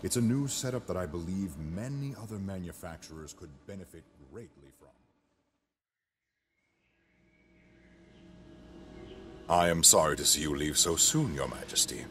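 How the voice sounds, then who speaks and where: A man playing a scheming imperial governor in a sci-fi audio drama, formal and measured with an oily courtesy. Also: low voice, animated, a bit bright, slight background noise. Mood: deferential, guarded. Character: scheming imperial governor, outwardly deferential, secretly mutinous. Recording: film music behind the voice.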